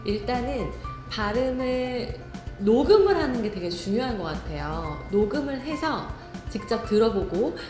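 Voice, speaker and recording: A woman speech instructor giving calm, encouraging interview answers. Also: mid-range voice, echoey room.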